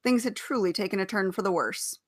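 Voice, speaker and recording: A woman reading a story aloud as an expressive narrator. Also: mid-range voice, a little fast.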